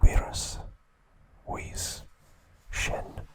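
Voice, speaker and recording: A man hosting an ASMR video, recapping a story in a soft, unvoiced whisper. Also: drawn-out words, a little hiss or hum. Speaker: ASMR host.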